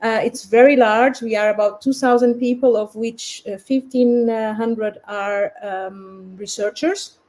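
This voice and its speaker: A woman's voice: a little high, steady tone, a little slow, few pauses, a little mellow. A woman from Portugal giving a research presentation in a webinar over a video call, speaking hesitantly with many fillers and restarts.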